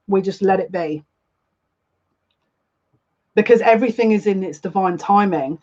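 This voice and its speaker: A woman's voice: medium pitch, unhurried, a little mellow. A woman speaks earnestly and confidingly, circling back to repeat her own phrases as she gives a live spiritual reading. Her voice sits in a middle register. The livestream audio is a little dull and lo-fi. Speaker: psychic livestream host.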